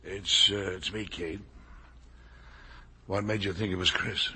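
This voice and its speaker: A man's voice: deep voice, fairly even intonation, measured pace. An actor playing a guilt-ridden older father and factory owner in a 1950s radio drama, defensive, self-justifying and pleading. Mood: weary, dejected. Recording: vintage 1950 radio broadcast.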